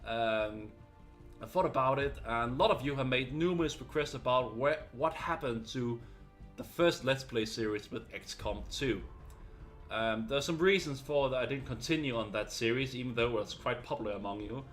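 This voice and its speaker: A man, by birth, hosts his video game channel and talks straight to the camera in a casual, earnest ramble full of small fillers. His voice sits at an ordinary pitch for a man, and faint music plays softly behind him. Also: moderate pace. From Denmark. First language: Danish. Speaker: gaming channel host.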